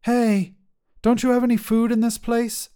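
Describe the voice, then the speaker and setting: An impatient young woman, as a male storyteller plays her, brusque and full of questions. The voice is lifted well above the reader's own pitch. It belongs to a narrated short story. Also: level tone, a little slow, mellow, clear, resonant tone. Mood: incredulous. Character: impatient young woman, anxious narrator's hungry, nagging friend.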